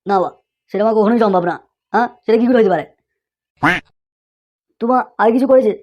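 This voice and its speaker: A high, hurried voice performed by a woman for an exasperated young cartoon husband. He blusters and scolds with a short temper, and the recording is dull and lo-fi. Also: close to an everyday voice. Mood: accusing. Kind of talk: comic cartoon dialogue. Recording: dull lo-fi sound.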